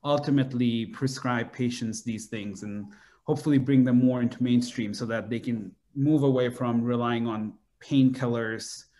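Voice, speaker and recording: A man's voice: medium pitch, fairly even intonation, normal speed, dark tone. Hesitant young man from Bangladesh. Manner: hesitant, many fillers and "sort of". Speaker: guest, neuroscience PhD student. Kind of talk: casual interview answers.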